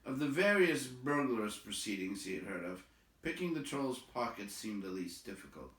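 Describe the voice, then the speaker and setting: A man reading a storybook aloud as an expressive narrator. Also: mid-range voice, moderate pace, echoey room, distant mic. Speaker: storybook reader.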